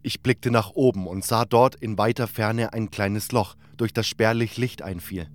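A man's voice: on the low side, fairly even intonation, a little slow. A man narrating a scripted fantasy adventure in the first person, as a dramatic storyteller.

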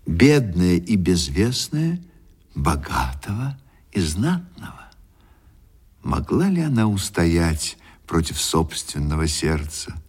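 Casting: male radio narrator, lowish husky voice, expressive and wry, pausing for effect. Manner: theatrical, deliberate pauses, wry rhetorical questions. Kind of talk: dramatic literary storytelling for radio.

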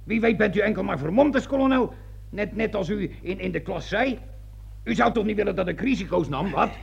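An actor in a radio play voicing a stubborn, muddled army sergeant, blunt and colloquial, with a raspy voice. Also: male voice, sits high, animated, fairly quick, exaggerated voice. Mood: suspicious. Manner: blunt, colloquial, repeating himself in confusion. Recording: dull old radio recording.

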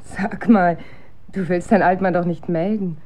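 An actress playing an observant, opinionated wife in naturalistic radio drama, conversational and pointed. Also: female voice, fairly low pitch, steady tone, unhurried, a little mellow, natural voice. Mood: probing.

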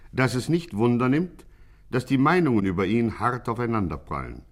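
A man's voice: mid-range voice, close to an everyday voice. Man as a pompous radio chronicler. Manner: pompous, mock-solemn. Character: grandiose, mock-serious male chronicler of a gangster. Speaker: narrator. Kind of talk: 1950s scripted radio narration.

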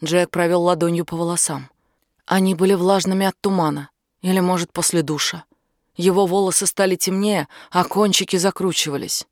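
A woman's voice: fairly low pitch, fairly even intonation, fairly quick, no room sound, clean studio sound. A woman reading a scripted first-person novel as an audiobook narrator.

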